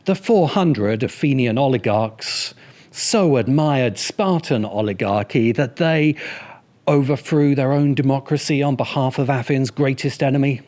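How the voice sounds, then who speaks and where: A man's voice: mid-range voice, measured pace, a little mellow. A man narrating a scripted video essay as its host, with dry, sardonic asides.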